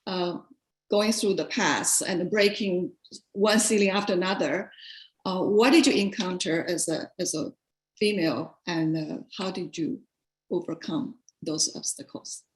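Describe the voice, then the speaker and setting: A woman's voice: fairly low pitch, a little slow. Hesitant woman moderator, roomy sound. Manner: hesitant, many fillers. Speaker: webinar moderator.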